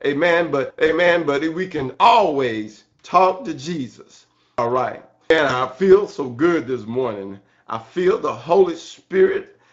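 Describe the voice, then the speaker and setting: A man preaching an excited church sermon in a halting way, repeating phrases and punctuating them with amen and hallelujah. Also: fairly high pitch. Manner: excited, fervent. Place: church service. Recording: dull, lo-fi sermon recording.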